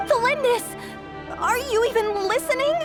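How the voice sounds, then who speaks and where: An actress playing a small, anxious young persecuted character in a video game, pleading fearfully, with music behind. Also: female voice, very high-pitched, moderate pace. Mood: desperate, pleading. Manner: anxious, imploring. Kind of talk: scripted video game voice acting. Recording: game dialogue over clear music.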